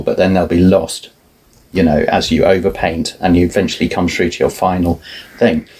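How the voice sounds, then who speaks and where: A middle-aged man, a guest artist, talking in a relaxed, thoughtful way with many restarts. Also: sits low, slightly rough. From family roots in Ireland, moved around a lot. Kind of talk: relaxed conversational interview.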